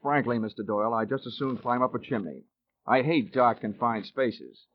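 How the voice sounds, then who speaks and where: Guy playing a deadpan wisecracking investigator, old time radio, midrange voice, muffled sound. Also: mid-range voice, normal speed, slight background noise, natural voice. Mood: dry, skeptical. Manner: wry, deadpan. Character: wisecracking male insurance investigator, world-weary. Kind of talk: 1940s radio acting, first-person narration. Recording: dull old radio recording, music behind.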